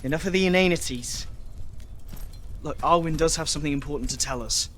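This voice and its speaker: A man's voice: fairly high pitch, brisk, a bit bright, slight background noise, close to an everyday voice. A man playing a fussy, talkative storytelling companion in a video game, nervous and prone to stammering explanations. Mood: explaining. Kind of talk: video game character acting.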